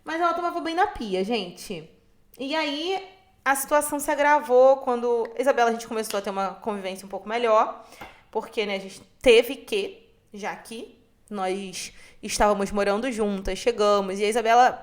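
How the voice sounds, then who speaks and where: Chatty young Carioca woman, higher voice. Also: moderate pace. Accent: Carioca Brazilian Portuguese. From Rio de Janeiro, Brazil. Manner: chatty and expressive, keeps addressing listeners directly. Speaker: podcast host. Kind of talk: casual storytelling monologue.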